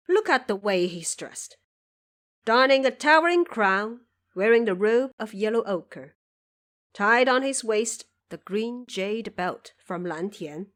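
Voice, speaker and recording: A woman's voice: a little high, fairly bright tone. A woman narrating a scripted fantasy audio drama as a storyteller.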